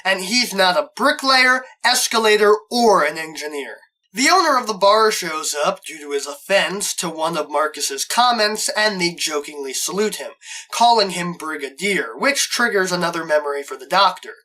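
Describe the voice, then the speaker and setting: A man's voice: high-pitched, lively intonation, normal speed, bright, forceful tone, poor audio. A man hosting a scripted review, narrating with a fan's enthusiasm and wry asides. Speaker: host, reviewer.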